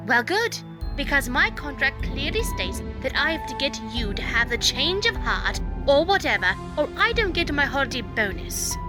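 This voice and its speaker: A woman's voice: high-pitched, very animated, normal speed, over music. A woman playing a bossy, self-interested demonic mare spirit in an audio story, businesslike yet theatrical. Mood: irritated, bargaining. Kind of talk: scripted audio story acting.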